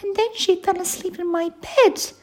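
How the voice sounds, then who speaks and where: A woman voicing a friendly, eager little boy bear cub with a squeaky, childlike voice in a children's storybook. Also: fairly even intonation, normal speed. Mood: upset.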